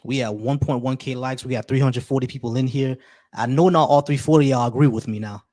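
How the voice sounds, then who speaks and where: A man chats loosely on a live stream, welcoming viewers and trailing into little restarts. His voice sits at an average pitch for a man. The tone stays casual and unhurried. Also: fairly even intonation. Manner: laid-back, shout-outs to viewers, many restarts. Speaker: livestream host.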